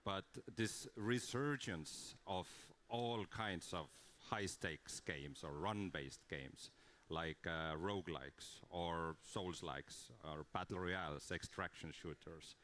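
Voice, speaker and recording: Low-voiced man giving a talk. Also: slow pace, fairly bright tone, slight background noise. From Estonia. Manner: earnest, explanatory, with frequent fillers and restarts. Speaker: conference speaker, game creative director. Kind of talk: conference talk.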